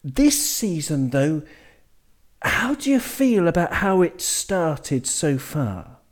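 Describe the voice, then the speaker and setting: A man's voice: a little high, sing-song, slow pace. Upbeat podcast host, man. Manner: upbeat, enthusiastic interviewer. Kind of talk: casual podcast chat.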